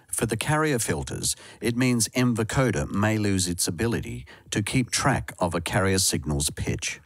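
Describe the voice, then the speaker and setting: A man reading a scripted instructional narration in a measured, explanatory way. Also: low-pitched, dry recording, clean studio sound. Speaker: software tutorial narrator.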